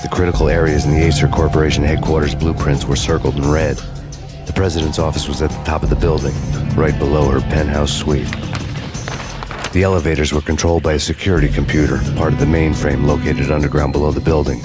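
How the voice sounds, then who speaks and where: An actor playing a weary, sardonic hard-boiled cop, narrating a video game in noir style. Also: male voice, a bass voice, quick pace, over loud music. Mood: grim. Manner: flat, deadpan, sardonic.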